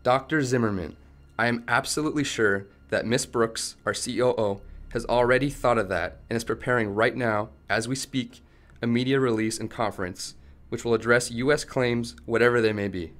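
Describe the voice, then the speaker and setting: A man's voice: medium pitch, moderate pace, fairly bright tone, close to an everyday voice. A man playing a principled, defiant tech company chief held captive in a radio play, arguing firmly and articulately. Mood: firm defiance. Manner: firm, argumentative, articulate.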